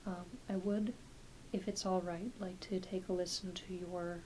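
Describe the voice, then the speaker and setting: A woman acting as a gentle female doctor in an ASMR exam roleplay. Her voice is soft and on the low side, with slow, hesitant phrasing that often slips toward a whisper. Clear hiss sits behind her. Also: little pitch movement, close to an everyday voice, low-quality recording. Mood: gentle, reassuring. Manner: soft-spoken, hesitant, halting, often trailing into a near-whisper. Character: gentle, reassuring, slightly scattered female doctor for werewolf patients. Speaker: ASMR performer. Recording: close home recording with clear hiss.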